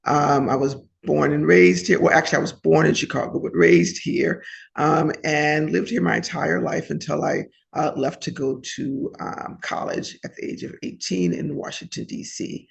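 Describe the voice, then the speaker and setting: Gravelly-voiced woman recalling her career. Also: deep voice, moderate pace. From New Orleans, United States. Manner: reflective, many ums and restarts. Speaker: retired diplomat, interviewee. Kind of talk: oral history interview.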